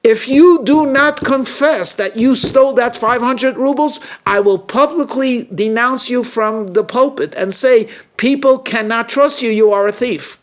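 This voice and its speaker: A man voicing a shrewd, stern rabbi in a told story, speaking with deliberate authority. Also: fairly high pitch, animated, gravelly, heavily acted. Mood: furious, denouncing. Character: shrewd, commanding male rabbi, stern judge of wrongdoers.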